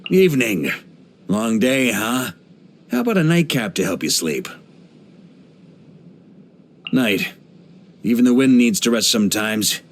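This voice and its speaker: An actor playing a genial, laid-back middle-aged knight commander in a video game, with a theatrical, easygoing manner. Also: male voice, medium pitch, lively intonation, a little slow, long pauses. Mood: easygoing, jovial. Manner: jovial, laid-back, theatrical, given to chuckles. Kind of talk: English video game character voice lines.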